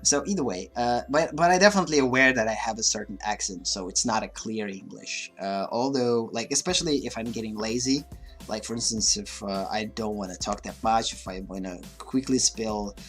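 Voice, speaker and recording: A man's voice: lowish voice, fairly quick, a bit bright, faint music behind. Hesitant man with a mixed Russian-tinged accent. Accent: mixed Russian-influenced. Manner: hesitant, many fillers and restarts. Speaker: livestream host. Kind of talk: casual rambling monologue.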